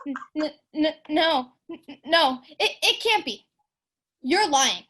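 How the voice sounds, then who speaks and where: A woman's voice: high voice, animated, slow, sharp tone, voice pushed down, heavily acted. A young woman playing a middle-aged mother who stammers and sobs in an amateur audio drama. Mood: shocked angry. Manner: stammering, sobbing, doting then furious.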